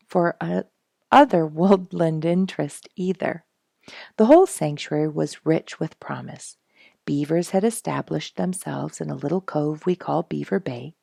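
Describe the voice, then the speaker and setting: Lady reading a nature storybook out loud, really gravelly low voice, expressive, kinda dramatic on key words. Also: no echo, clean studio sound. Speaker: storyteller reading a book aloud. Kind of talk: expressive narrated nature memoir for a family audience.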